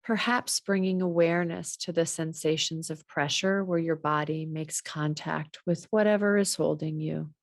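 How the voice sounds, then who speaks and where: A woman guiding a body scan meditation in a measured, gently instructional way. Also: low-pitched, fairly even intonation, dry recording, clean studio sound. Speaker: meditation teacher.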